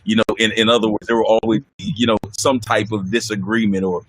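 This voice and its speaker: Deep-voiced man, easy chat. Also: fast talker, dry recording, poor audio. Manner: relaxed, chuckling, talks of his deep voice. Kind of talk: casual conversation.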